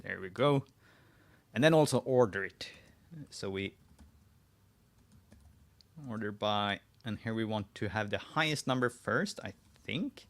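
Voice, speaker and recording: Swedish guy walking viewers through a tutorial, low gravelly voice, thinks out loud. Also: a little slow, pauses often. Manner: thinking aloud while typing, frequent restarts. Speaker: host. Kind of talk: live screen-share tutorial.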